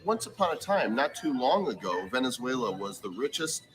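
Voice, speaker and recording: A man's voice: medium pitch, sing-song, a little fast, a bit bright, poor audio. A man hosting a casual interview on location, asking questions in an emphatic way. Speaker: interviewer, host.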